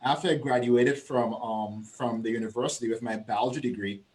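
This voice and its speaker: A man's voice: medium pitch, brisk. Caribbean-accented man telling a story. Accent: Virgin Islands Caribbean. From Saint Thomas, US Virgin Islands. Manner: storytelling, relaxed, laughs often. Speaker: guest. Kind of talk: casual video-call chat. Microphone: video call.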